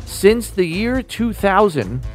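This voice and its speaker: A man hosting a sports podcast, running a trivia quiz and making picks in an upbeat, animated way. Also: sits high, slow pace, soft background music. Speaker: host.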